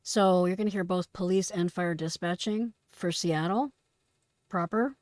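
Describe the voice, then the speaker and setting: A woman's voice: on the low side, a little slow, darkish tone, no echo, clean studio sound. A woman giving casual, instructive commentary as a presenter of emergency radio traffic.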